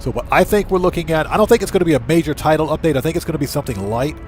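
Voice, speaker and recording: A man with a somewhat higher-pitched voice hosts a video game livestream. He thinks aloud and addresses his viewers in a casual, chatty way. Music plays behind him. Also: lively intonation, racing, darkish tone. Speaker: livestream host.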